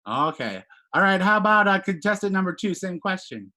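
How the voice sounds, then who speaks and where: A man hosting a dating-show bit in improv comedy, talking in a hesitant, halting way full of fillers over a home video call. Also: sits high, sing-song, bright, forceful tone. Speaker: game show host.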